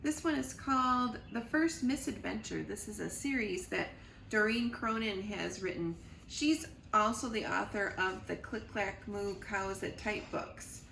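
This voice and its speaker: A woman's voice: mid-range voice, a little slow, creaky, fairly bright tone, reverberant, slight background noise, rough recording. A woman reading a children's chapter book aloud, chatting to young listeners between pages. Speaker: reader.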